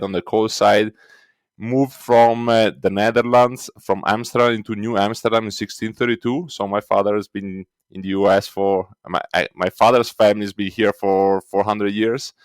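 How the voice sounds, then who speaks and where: Italian-accented man, low and dry. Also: little pitch movement, normal speed, clean tone, no room sound. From Italy. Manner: explains step by step with frequent fillers. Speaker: guest, records indexing team lead. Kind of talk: conversational interview.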